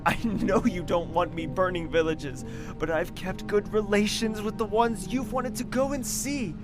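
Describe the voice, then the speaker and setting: A man's voice: high-pitched, fairly even intonation, moderate pace, natural voice. A man playing a grieving, bitter young prince in an audio drama, brooding and intense. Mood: weary, defensive. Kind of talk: audio drama acting with narration. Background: music.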